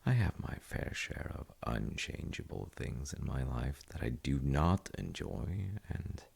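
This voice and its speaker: A man playing a gentle, thoughtful dad in an intimate audio roleplay, speaking hesitantly and softly with a gravelly, husky voice. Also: very deep voice, big pitch swings, measured pace, exaggerated voice. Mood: reflective, gentle. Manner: hesitant, musing, soft-spoken. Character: gentle, thoughtful dad comforting his child. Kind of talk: intimate audio roleplay, slow bedtime chat.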